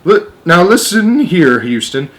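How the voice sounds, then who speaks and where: An alarmed astronaut from a storybook, acted out by a man reading aloud. He is excitable and bewildered, with a raised, urgent voice that trips over itself in disbelief. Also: sits high, a little slow, voice pushed up, full character voice. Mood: flustered. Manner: exclamatory, urgent, stammering in disbelief.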